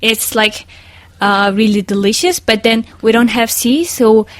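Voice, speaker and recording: Woman, halting English. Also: fairly high pitch, no echo. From Mongolia. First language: Mongolian. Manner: halting, searching for English words. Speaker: interviewee. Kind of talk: casual interview answers.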